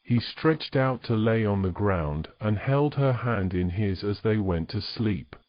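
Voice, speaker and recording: A man's voice: on the low side, expressive, measured pace, no echo, lo-fi sound. A man reading scripted fantasy romance narration as a storyteller.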